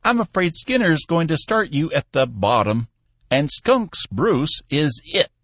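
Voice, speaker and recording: A man voicing a blustery, excitable old company boss for an audiobook, exclamatory and emphatic. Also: on the high side, unhurried, no room sound, pitched above the performer's own voice, full character voice. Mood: excited. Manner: exclamatory, emphatic, folksy oaths. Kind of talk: audiobook character voice.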